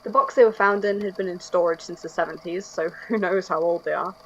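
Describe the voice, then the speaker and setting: A woman's voice: on the low side, brisk, lightly acted. A woman playing a casual, slightly wary archivist, hesitant and conversational, introducing a tape in an audio drama. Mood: apologetic, matter-of-fact. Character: casual, mildly wary female archivist recording onto tape. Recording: muffled, faintly noisy tape sound.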